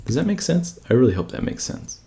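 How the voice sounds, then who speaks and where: A man's voice: medium pitch, big pitch swings, brisk, dark tone, slight background noise. A man giving an informal coding screencast tutorial, thinking aloud and often checking in with rhetorical questions. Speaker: presenter of a coding tutorial channel.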